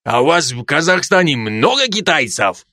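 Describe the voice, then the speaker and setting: A man's voice: a little high, very animated, moderate pace, bright, forceful tone, no room sound, clean studio sound. A man voicing a handsome young Georgian soldier who teases his comrades. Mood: joking. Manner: teasing, mocking.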